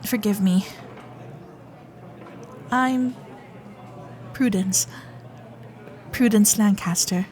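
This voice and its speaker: A woman's voice: medium pitch, drawn-out words, pauses often, darkish tone. A young woman playing a nervous, chatty Gilded Age heiress in a romantic audio roleplay, hesitant and genteel in manner. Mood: nervous, babbling. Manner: flustered, hesitant, babbling, genteel and formal. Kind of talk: romantic period audio roleplay, spoken intimately to listener.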